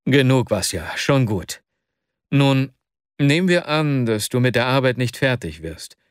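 Devoted young male friend, anxious, earnest, urging, slow-paced, as a man reads him. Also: medium pitch, very animated, clear, resonant tone, no echo, studio quality. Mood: earnest urgent. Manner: earnest, urgent, comforting. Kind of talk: audiobook character voice.

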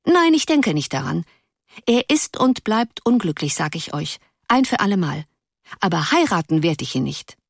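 A woman voicing a willful, dreamy teenage schoolgirl who speaks emphatically and declaims verse softly. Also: lowish voice, big pitch swings, normal speed, vocal fry, breathy edge, dry recording, studio quality, full character voice. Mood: insistent.

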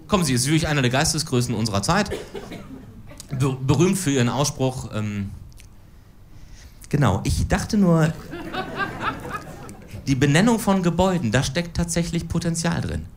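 A woman's voice: deep voice, expressive, moderate pace, long pauses, darkish tone, slight background noise. A woman in her forties performing stand-up political cabaret on stage, with a dry, ironic delivery. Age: 40s. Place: stage before a live audience.

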